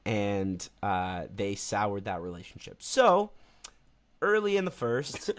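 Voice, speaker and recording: A man co-hosting a casual sports podcast, telling stories in a relaxed way with many fillers. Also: lowish voice, slow. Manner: relaxed storytelling with frequent fillers. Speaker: podcast co-host.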